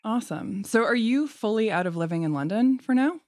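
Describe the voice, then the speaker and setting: A woman hosts a podcast interview in a friendly, chatty way, laughing easily as she asks her questions. Her voice sits at a middle pitch and sounds close and dry. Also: animated, brisk, darkish tone, no room sound. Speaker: host.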